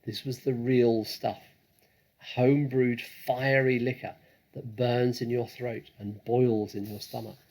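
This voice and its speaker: A man narrates a children's storybook with deliberate, dramatic phrasing. He reads slowly, in a husky voice with plenty of creak. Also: medium pitch, flat delivery, a little mellow. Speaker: story reader.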